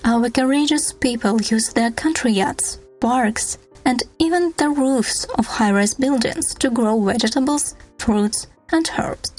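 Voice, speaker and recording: A woman with a fairly high voice narrates a scripted documentary report. Faint music plays behind her as she reads the podcast narration. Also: a little slow, few pauses, darkish tone, low-quality recording.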